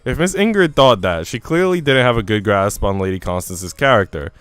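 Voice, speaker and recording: Animated man streaming, clear voice. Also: lowish voice, fairly quick, no echo. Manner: slangy, informal. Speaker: live streamer. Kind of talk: casual gaming stream chat and visual novel narration.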